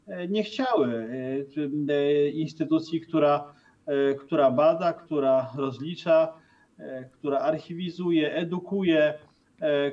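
A middle-aged man speaking as a commentator over a video call, in a fairly high voice for a man. He hesitates often, restarts his phrases and keeps tagging questions onto his points. The sound is distant and dull. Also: a little mellow. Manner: hesitant, many fillers and restarts, tag questions. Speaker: political commentator guest. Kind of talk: remote TV interview commentary. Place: room with bookshelves.

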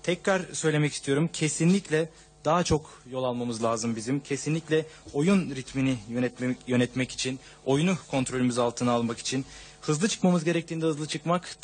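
Guy interpreting at a press conference, rattles it off fast, kinda husky, table mic. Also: fairly high pitch. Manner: steady, unbroken rendering of a long answer. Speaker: interpreter for a football coach.

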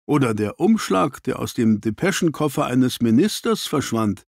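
A man voicing an earnest, well-informed gentleman doctor in an audiobook, formal and explanatory. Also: mid-range voice, big pitch swings, moderate pace, vocal fry, no echo, clean studio sound.

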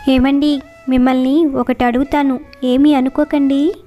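A woman's voice: high-pitched, flat delivery, a little slow, dark tone. A woman voicing a scheming young wife who coaxes and persuades, in a storybook tale. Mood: coaxing. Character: scheming young wife coaxing her husband. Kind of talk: storybook dialogue narration.

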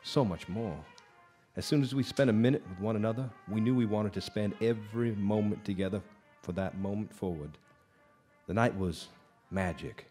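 An actor playing a world-weary, haunted blues musician in a radio drama, halting and reluctant as he tells his story. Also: on the low side, mellow, faint music behind, close to an everyday voice. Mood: wistful. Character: brooding, haunted male blues musician drinking in a bar. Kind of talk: live radio drama acting. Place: live stage performance of a radio drama.

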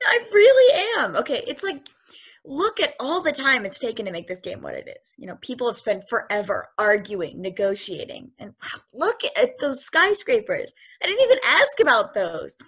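A woman reading a curious, wide-eyed space probe in a table read over a video call, talking in an earnest, halting way. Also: a little high, a bit bright, breathy edge, heavily acted.

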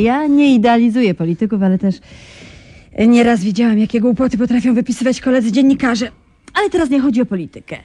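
An actress playing a persistent, self-assured investigative journalist in a radio drama, probing and ironic. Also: female voice, fairly high pitch, warm tone, dry recording, natural voice. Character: persistent female investigative journalist, shrewd and cool. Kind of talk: 1990s radio drama acting.

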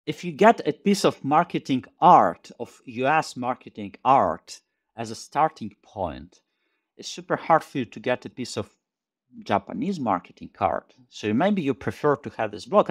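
A man in his forties, a tech company chief, talking over a video call in a thoughtful, halting way, often repeating words as he searches for them. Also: mid-range voice, sing-song, husky. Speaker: tech company CEO guest. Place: small home room indoors. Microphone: headset over video call.

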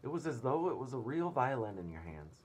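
A man's voice: mid-range voice, sing-song, brisk, darkish tone, voice pushed up. A man voicing a curious, polite small boy in a children's storybook, earnest and wondering. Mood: delighted, curious. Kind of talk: children's storybook read-aloud. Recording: clear room noise.

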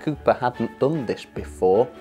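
A young man playing a serious, self-important project overseer, measured and deadpan in a spoof interview. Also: medium pitch, music in the background, close to an everyday voice. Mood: grave, confident. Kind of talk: mock documentary interview.

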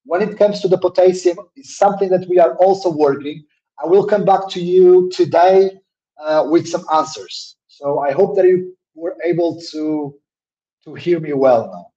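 A man's voice: moderate pace, warm tone. Hesitant man on a muffled video call. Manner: hesitant, many fillers and repeated words; says his own voice is a little deep. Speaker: webinar presenter answering questions. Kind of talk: live webinar Q&A. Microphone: laptop mic on a video call. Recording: dull lo-fi call audio.

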